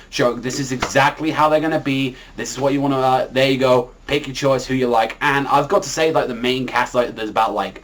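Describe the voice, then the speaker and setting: A man giving a casual, unscripted anime review, talking in a rambling, repetitive way with a habit of filler words. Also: medium pitch.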